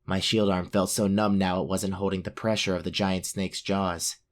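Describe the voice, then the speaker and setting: A man's voice: sits low, flat delivery, normal speed, natural voice. A man playing a brave but self-doubting young fantasy warrior, narrating his own story in an audio drama. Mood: earnest narration.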